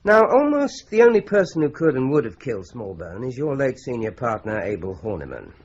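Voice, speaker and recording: An actor playing a polite, measured police inspector in a radio drama. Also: male voice, mid-range voice, very animated, slight background noise, lightly acted. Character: calm, courteous Scotland Yard inspector, man. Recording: dull lo-fi radio recording.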